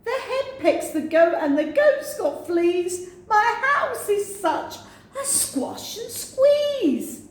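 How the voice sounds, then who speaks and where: A woman's voice: extremely high pitch, very animated, slow pace, pitched above the performer's own voice, exaggerated voice. A woman voicing a flustered, grumbling little old woman from a storybook, wailing and pleading. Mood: distressed wailing. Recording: very echoey room, faint noise.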